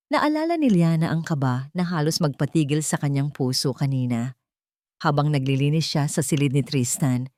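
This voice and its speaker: A woman narrating a scripted romance story in an expressive, sentimental storytelling manner. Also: low voice, no echo, studio quality.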